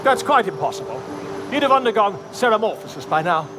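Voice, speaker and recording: Pompous, high-voiced excitable scholar. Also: male voice, fairly quick, heavy background noise. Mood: smug, lecturing. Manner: theatrical, florid, self-important. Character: pompous, excitable male self-styled scholar of monsters. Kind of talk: fantasy video game acting.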